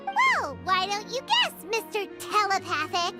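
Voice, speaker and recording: A tiny excitable fairy from a video game. Her squeaky voice is extremely high and swoops wildly in cutesy exclamations, with loud music behind. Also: unhurried, exaggerated voice. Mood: cheeky. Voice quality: squeaky, childlike. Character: tiny floating fairy girl companion, cheeky, excitable. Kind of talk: video game character acting.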